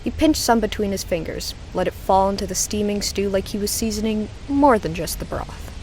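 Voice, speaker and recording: A woman with a medium-pitched voice reads a horror audio drama as its narrator. Her delivery is scripted, suspenseful and measured, pausing for drama. A clear bed of storm ambience sits behind her. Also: darkish tone, slight background noise, low-quality recording.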